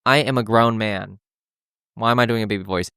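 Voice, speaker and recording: A man's voice: lowish voice, fairly even intonation, fairly quick, gravelly, sharp tone, clear, resonant tone, no room sound, clean studio sound. A young man hosting a podcast, chatting candidly and rambling about dating, with lots of restarts and "like" fillers. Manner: rambling and candid, heavy use of "like", with frequent restarts. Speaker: podcast host. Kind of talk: casual chat about dating.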